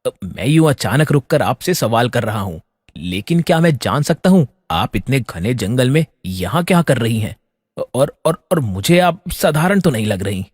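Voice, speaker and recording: पुरुष के अभिनय में गूंजती आवाज़ वाला शालीन युवा राजकुमार. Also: male voice, medium pitch, big pitch swings, normal speed, husky, dry recording, clean studio sound, in a large hall. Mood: concerned. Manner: polite, hesitant, formal. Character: young courteous, slightly flustered fairy-tale prince. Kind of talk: Hindi fairy tale audio acting.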